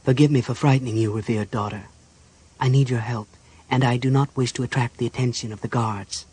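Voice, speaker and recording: A man playing a cold, controlled, scheming archmage in a fantasy audiobook, speaking in a measured, formal, commanding way. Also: mid-range voice, steady tone, darkish tone, slightly rough, lightly acted. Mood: persuasive, grave.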